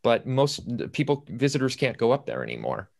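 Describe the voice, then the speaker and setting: A man's voice: medium pitch, wildly swooping pitch, a little fast, creaky. A man in a conversational panel discussion, speaking indoors on a video call. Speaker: panelist from the play's team.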